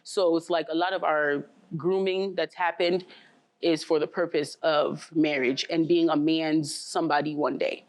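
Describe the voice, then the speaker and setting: A woman who is a talk show guest and works in higher education, speaking thoughtfully and checking in with the listener. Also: fairly even intonation, moderate pace. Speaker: panel guest. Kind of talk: casual discussion.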